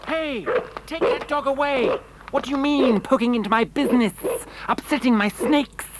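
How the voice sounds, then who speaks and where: A man's voice: very high voice, moderate pace. Man as furious fairground snake handler, noisy background. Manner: shouting, indignant. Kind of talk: children's audio drama acting.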